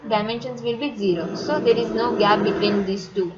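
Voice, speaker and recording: A woman with an Indian accent walks through software steps as an instructor. Her voice is fairly high and her delivery is halting. The recording is dull, with loud noise behind her. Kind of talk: step-by-step software tutorial narration. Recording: muffled, very noisy, vehicle sounds behind.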